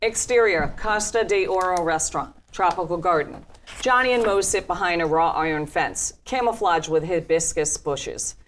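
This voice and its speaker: A woman with a slightly low voice serves as narrator at a table read. She delivers scene headings and stage directions as scripted narration. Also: normal speed, a little hiss or hum.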